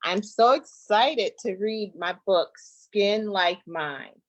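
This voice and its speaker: A woman from Michigan, a guest author, reading a picture book aloud to children in an expressive, drawn-out way. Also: medium pitch. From Flint, Michigan, United States.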